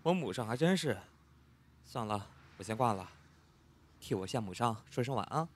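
A man's voice: medium pitch, expressive, moderate pace, lightly acted. A man acting a cocky, teasing young man in his twenties in an audio drama. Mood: casual.

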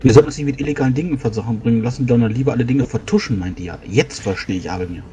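A man's voice: medium pitch, very fast, warm tone, faint music behind. A man role-playing casually in a tabletop game, chatty, repeating words as he switches between character speech and brief narration.

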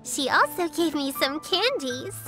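A woman's voice: high-pitched, big pitch swings, slow, heavily acted. An actress voicing a cheerful, eager little girl who chatters earnestly in game dialogue. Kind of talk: video game character dialogue. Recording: game audio with soft music behind.

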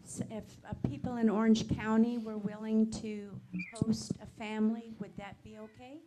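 A woman asking questions at a congregation meeting, hesitant with many pauses and fillers. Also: fairly high pitch, level tone, a crowd in the background.

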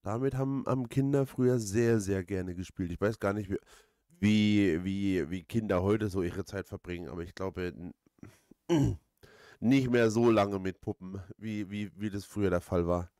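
A man giving casual gaming commentary, halting and repeating words as he rambles. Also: lowish voice, warm tone, no room sound, clean studio sound. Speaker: let's play host.

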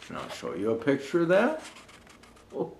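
A man's voice: mid-range voice, huge pitch swings, moderate pace, vocal fry, a little hiss or hum. An older man reading a children's storybook aloud in a folksy way, speaking directly to young listeners as a Santa storyteller. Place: small indoor decorated studio set.

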